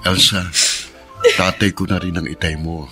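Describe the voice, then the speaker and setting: Deep-voiced, caring Filipino husband. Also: male voice, level tone, normal speed, vocal fry, bright, forceful tone, soft background music, full character voice. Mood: earnest, reassuring. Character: caring, steady husband and devoted son-in-law. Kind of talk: Filipino radio drama acting.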